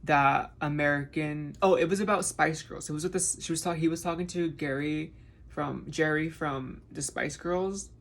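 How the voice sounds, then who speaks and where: A man chatting casually while doing his makeup, rambling through his thoughts with a touch of vocal fry. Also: on the high side, fairly even intonation, normal speed, fairly bright tone. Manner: rambling, musing train of thought. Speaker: makeup vlogger. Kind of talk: casual chat during a makeup tutorial.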